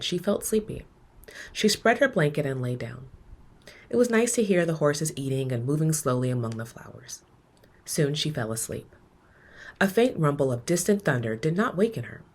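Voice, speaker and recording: A woman narrates a children's tale as a read-aloud. Her voice is low and rather husky, with expressive, measured phrasing that softens at the ends of lines. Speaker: educator reading a children's book aloud.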